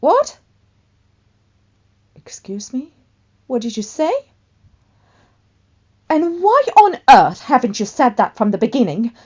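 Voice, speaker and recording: A woman acts out a famous actress and full-blown diva in a radio play. She is vain and quick to flare up, with a haughty, melodramatic delivery. Her pitch leaps about as she gushes or scolds. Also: very animated, a little mellow, slightly rough, heavily acted. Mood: excited, bossy. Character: vainglorious, hot-tempered famous actress, a theatrical diva. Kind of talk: one-sided radio play dialogue.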